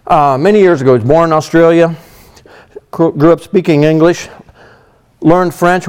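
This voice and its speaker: Lively high-voiced male preacher. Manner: conversational Bible teaching, frequent "you know". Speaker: pastor. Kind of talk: sermon.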